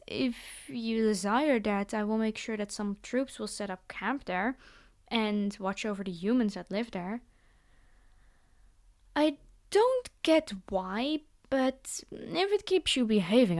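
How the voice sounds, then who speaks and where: A woman's voice: mid-range voice, animated, slow pace, darkish tone. A woman playing a commanding vampire girl in an ASMR roleplay, speaking directly to her human captive with condescending, teasing reassurance. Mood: businesslike, then puzzled. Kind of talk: scripted ASMR roleplay monologue.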